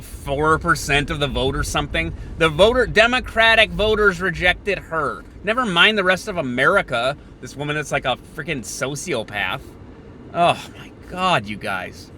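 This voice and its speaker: Guy podcaster ranting off the cuff, sardonic, really bright edgy voice on the higher side, bit of background noise. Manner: opinionated, sardonic, halting between bursts. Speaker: podcast host. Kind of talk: unscripted political monologue, thinking out loud.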